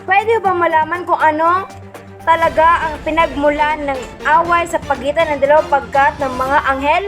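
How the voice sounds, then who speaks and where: A woman's voice: high voice, steady tone, normal speed, a bit bright, faint music behind. A woman playing a polite, formal radio reporter conducting a live interview in a radio play. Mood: polite, inquiring. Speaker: field interviewer.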